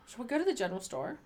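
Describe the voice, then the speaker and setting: A woman's voice: fairly high pitch, a little mellow, a little hiss or hum. A middle-aged woman co-hosting a livestream, mixing reading aloud with casual banter and dry, playful interjections. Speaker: livestream co-host.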